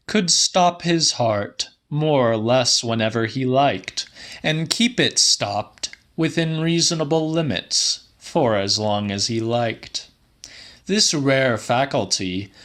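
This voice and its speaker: A man reading literary fiction as an audiobook narrator, slightly dramatic, with deliberate, measured phrasing. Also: medium pitch, fairly bright tone. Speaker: audiobook reader.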